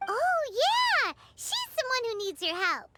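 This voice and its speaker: A woman voicing a tiny, childlike, eager companion in a video game, expressive and candid. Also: very high voice, slow pace, heavy background noise, heavily acted. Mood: excited, alarmed.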